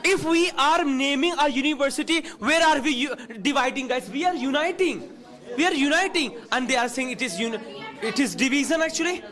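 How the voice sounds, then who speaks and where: A teacher moderating a class debate who firmly corrects the students and slips into Hindi. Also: male voice, very high-pitched, brisk, a crowd in the background.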